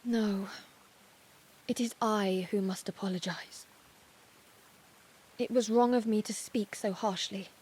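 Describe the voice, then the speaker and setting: A woman voicing a dutiful young warrior maiden in a video game, speaking formally and earnestly in a measured way. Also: medium pitch, steady tone, darkish tone, breathy edge. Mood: solemn, contrite. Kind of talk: video game cutscene acting.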